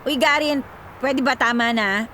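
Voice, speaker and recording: A woman's voice: sits high, unhurried, bright, forceful tone, a little hiss or hum. A Filipino actress in a radio drama playing a teasing, secretly lovelorn young woman in her twenties. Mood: playful, flustered. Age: 20s. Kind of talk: Tagalog radio drama acting. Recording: music behind the dialogue.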